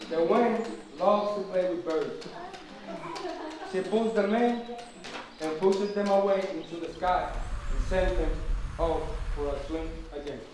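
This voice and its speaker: A man playing a kindly old forest king who tells stories in a puppet show. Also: high voice. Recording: very echoey room, distant mic, faint noise.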